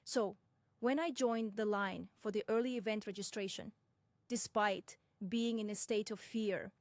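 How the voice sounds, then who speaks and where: A young woman from Russia, narrating her own experience in a measured, deliberate way with frank asides. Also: mid-range voice, steady tone, no room sound. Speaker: personal commentator. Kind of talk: first-person reflective narration.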